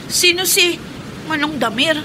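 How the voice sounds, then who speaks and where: A woman's voice: high voice, unhurried, bright, forceful tone, slight background noise. A woman playing a frightened, questioning wife of a secret militant in a Filipino radio drama. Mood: alarmed, questioning.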